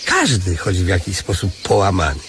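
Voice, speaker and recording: An actor playing a pushy, wily beggar on crutches in a radio play, arguing in rustic, halting speech with an eastern Polish borderland accent. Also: male voice, deep voice, wildly swooping pitch, noisy background. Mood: arguing, reasoning. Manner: argumentative, rustic, colloquial, halting repetitions.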